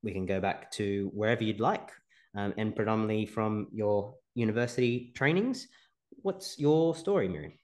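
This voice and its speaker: A man's voice: lowish voice, normal speed, a little mellow. A man hosting an interview podcast, upbeat and encouraging toward his guest. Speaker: podcast host.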